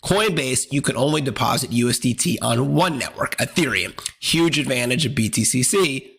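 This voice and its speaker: A man hosting a casual step-by-step tutorial, plain-spoken, with a hoarse, congested voice from a cold. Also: medium pitch, lively intonation, moderate pace, a bit bright. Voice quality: raspy, congested. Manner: plain-spoken, instructional, colloquial. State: hoarse, losing his voice from a cold. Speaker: host of a crypto exchange tutorial channel.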